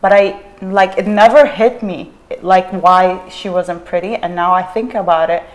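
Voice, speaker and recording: A woman's voice: fairly low pitch, fairly even intonation, unhurried, poor audio. A young adult woman from Iraq, a panel guest who recalls her childhood in a reflective, hesitant way with many fillers. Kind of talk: casual panel discussion.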